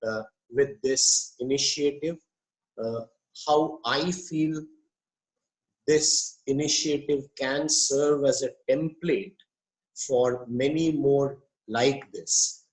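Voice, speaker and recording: A man, a psychiatrist giving an online welcome speech, hoarse from a cold and speaking in a measured, deliberate way over a video call. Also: medium pitch, low-quality recording. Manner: measured, deliberate, pauses with fillers.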